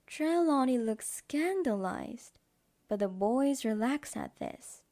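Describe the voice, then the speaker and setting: A woman's voice: on the high side, animated, slow, mellow. A woman giving scripted narration for an audio drama as its storyteller.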